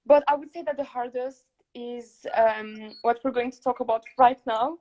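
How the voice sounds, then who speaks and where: This young woman from Morocco hosts a casual chat, her voice pitched well above most women's. She talks over a video call in a friendly, rambling way, with plenty of ums and easy laughter. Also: steady tone, normal speed, darkish tone. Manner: chatty, laughs often, many fillers. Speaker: podcast host.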